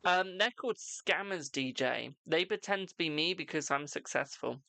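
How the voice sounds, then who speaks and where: A British tarot reader hosting a live stream, chatting casually and answering viewers' questions with a brisk "my darling". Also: medium pitch, lively intonation, vocal fry, bright, forceful tone, no echo. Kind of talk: casual live-stream chat and card readings.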